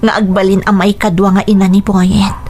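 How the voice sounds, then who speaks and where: An actress playing a patient, devoted young wife in a radio drama, earnest and long-suffering. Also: female voice, mid-range voice, little pitch movement, a little fast, a little mellow, slight background noise, lightly acted. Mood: pained, prayerful.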